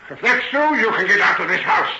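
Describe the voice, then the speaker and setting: An actor in an old-time radio drama playing a frail, proud, embittered old farmer, halting and indignant, with a creaky voice. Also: male voice, high-pitched, expressive. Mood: bitter, agitated. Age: older. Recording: dull, echoey old radio recording, faint noise.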